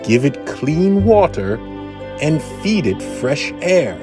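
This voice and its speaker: A man's voice: on the high side, very animated, takes it slowly, over music, voice pushed up. A man voicing an old industrialist hermit in a rhyming storybook, dramatic and emphatic, lingering on words. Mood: urgent, hopeful. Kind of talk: rhyming children's storybook read-aloud.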